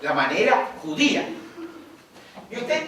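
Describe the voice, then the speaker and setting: An actor playing a 1950s radio show host who briskly and formally calls on his guests. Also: high-pitched, very animated, a bit bright, slight background noise, exaggerated voice. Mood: ceremonious. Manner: brisk and ceremonious, calling on guests. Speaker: radio host figure in a stage play. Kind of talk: stage acting. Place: theatre stage. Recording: roomy, distant stage recording.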